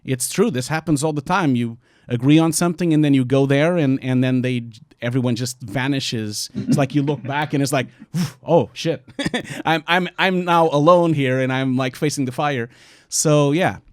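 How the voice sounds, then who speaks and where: Portuguese guy hosting a panel chat, earnest and emphatic, fast bursts, lots of uh and like, very dry mic. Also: mid-range voice, no room sound. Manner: earnest, emphatic, quick bursts with frequent fillers. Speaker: host of a panel discussion. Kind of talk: casual panel discussion.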